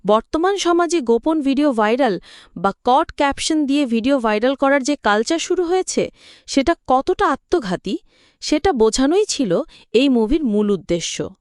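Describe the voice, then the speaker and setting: A woman's voice: high voice, animated, moderate pace, smooth, no room sound, clean studio sound. A woman narrating from a script in an earnest, explanatory way, as in a film recap.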